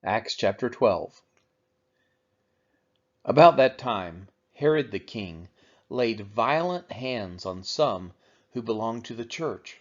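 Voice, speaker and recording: A man's voice: lowish voice, animated, slow pace, pauses often. A man reading the Bible aloud as a narrator for a church read-along. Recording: dull, lo-fi sound.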